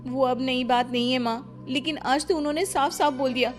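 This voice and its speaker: A woman's voice: sits high, steady tone, normal speed, faint music behind, voice pushed up, lightly acted. A woman voicing a meek, dutiful young daughter-in-law in a cartoon story, pleading and respectful. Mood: hurt.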